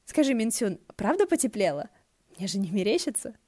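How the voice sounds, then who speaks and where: Audiobook role: playful young god, chatty and eager, raised pitch female reader. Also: very animated, a little fast, a little mellow, no echo, clean studio sound. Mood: eager, friendly. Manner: gushing, eager, full of questions. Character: bubbly, chatty, playful young man, a celestial wind deity. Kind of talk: audiobook character voice.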